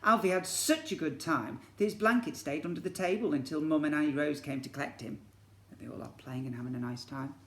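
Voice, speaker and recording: Guy reading a kids story like a teacher, expressive, asks the kids stuff, echoey. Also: on the high side, brisk. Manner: expressive, addresses young listeners with questions. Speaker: infant school teacher reading to pupils. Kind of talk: animated children's storybook reading. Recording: echoey room.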